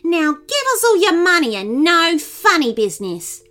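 A woman's voice: medium pitch, big pitch swings, normal speed, soft background music, close to an everyday voice. A woman voicing a mean, bossy, hot-tempered woman robber in a storybook, snapping shrilly and scornfully. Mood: threatening.

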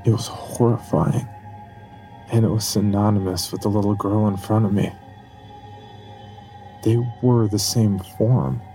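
A haunted man looking back on the terror that broke his mind. His voice is low and gravelly, delivered with grave, dramatic deliberation as first-person horror narration over faint music. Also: unhurried, pauses often, dark tone. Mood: haunted dread.

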